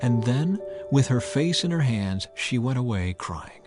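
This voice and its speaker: A man reading scripture as an audiobook narrator, in a measured, expressive, dramatized storytelling style. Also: mid-range voice, over music.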